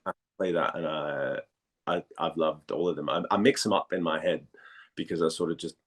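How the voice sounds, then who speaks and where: A man from Denmark chatting casually as an interview guest, haltingly searching for words and repeating phrases. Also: rumbling low, fairly even intonation, husky. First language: Danish.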